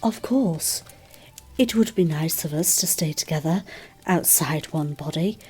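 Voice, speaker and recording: A woman playing a gentle, modest, hopeful character in an audio drama, speaking thoughtfully and with some hesitation. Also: deep voice, a little slow, husky. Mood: tentative, hopeful. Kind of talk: scripted audio drama dialogue.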